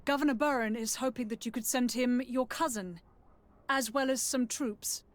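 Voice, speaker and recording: A woman's voice: a little high, moderate pace, close to an everyday voice. An actress playing a deferential female emissary in a game, speaking formally and humbly. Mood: humble, worried. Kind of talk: game cutscene acting.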